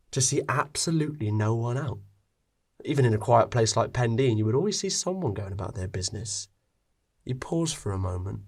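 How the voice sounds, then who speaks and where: A man narrating a scripted story expressively, with dramatic pauses. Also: mid-range voice, darkish tone, husky.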